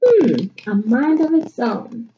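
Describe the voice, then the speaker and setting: An animated woman teacher reads a picture book to children in a high, swooping voice. She takes her time, thinking aloud and asking questions. The recording is a bit muffled, with noise behind her. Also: slow pace. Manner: expressive, thinking aloud, questioning young listeners. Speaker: elementary school teacher. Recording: muffled audio with clear background noise.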